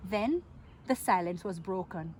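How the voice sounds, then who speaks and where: A woman reading a picture book aloud to children in an expressive, playful way, drawing out words and asking her listeners questions. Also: lowish voice. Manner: theatrical, playful, with drawn-out words and exclamations.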